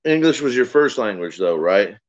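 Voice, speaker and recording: A man's voice: lowish voice, big pitch swings, moderate pace, dry recording, lo-fi sound. A man on a livestream panel, calling in by video and asking the guest short, curious questions. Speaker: panel member. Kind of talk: casual livestream chat.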